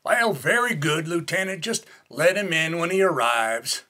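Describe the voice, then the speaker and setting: An irascible colonel in a radio drama. His voice is high and swings widely, sputtering and bossy, with clear noise behind. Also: lively intonation, a little slow, sharp tone. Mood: official. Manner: sputtering, incredulous, gruffly commanding. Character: blustering, irascible male army colonel. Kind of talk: radio play acting.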